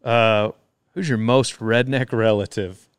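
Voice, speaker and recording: Polite young host, smooth dry voice. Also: male voice, fairly low pitch, steady tone, takes it slowly, dry recording. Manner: polite, drawling fillers, says "yes, sir". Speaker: podcast host. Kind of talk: casual interview chat.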